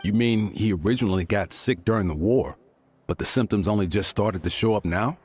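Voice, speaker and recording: A man voicing an earnest, concerned adult warrior of a tribe in a game, with a husky voice. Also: fairly low pitch, fairly quick, a little hiss or hum, close to an everyday voice. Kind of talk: English game voice acting.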